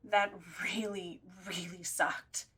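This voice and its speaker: An actress playing a blunt, exasperated supernatural sidekick in an audio drama, scolding and sardonic. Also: female voice, on the low side, little pitch movement, takes it slowly, pushed and bright. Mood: weary.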